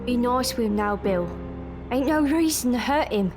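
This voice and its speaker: A woman playing a reluctant, soft-hearted young London thief in an audio drama, with a weary, pleading manner. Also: on the high side, lively intonation, normal speed, music in the background, lightly acted.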